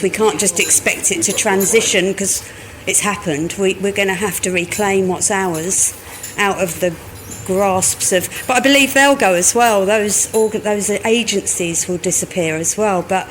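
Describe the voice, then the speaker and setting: Hopeful woman thinking out loud. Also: mid-range voice, moderate pace, few pauses, slight background noise, low-quality recording. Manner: hopeful, repetitive, thinking aloud. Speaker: interviewer. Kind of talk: casual interview chat.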